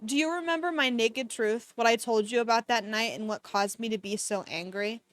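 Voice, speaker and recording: A woman reading the lines of a troubled young male doctor in a hushed, somber way. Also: very high-pitched, a little fast, no echo, pitched below the performer's own voice. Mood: earnest.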